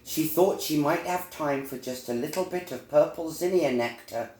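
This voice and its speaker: A man narrating a children's storybook in a deliberate, expressive storytelling manner, recorded in an echoey room. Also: fairly high pitch. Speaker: storyteller reading a children's book.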